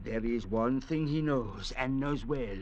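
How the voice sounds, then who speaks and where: A man's voice: medium pitch, unhurried, a little hiss or hum, close to an everyday voice. An actor playing a harsh, contemptuous man stranded on a raft, with a sneering manner, in 1940s radio acting style. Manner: sneering and hostile. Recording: dull, lo-fi old radio recording.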